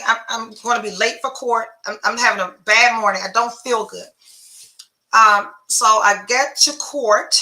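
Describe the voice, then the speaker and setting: A woman hosts her own podcast and talks straight to the listener in a blunt, profane, animated way. Her voice sits a little high and has a bright, forceful edge, with a congested, phlegmy sound in her throat. The room around her has a noticeable echo. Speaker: podcast host. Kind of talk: casual storytelling monologue.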